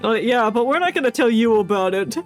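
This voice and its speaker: A woman's voice: on the high side, animated, a little fast, soft background music. A woman doing casual, playful, giggly commentary as she plays through a game on stream.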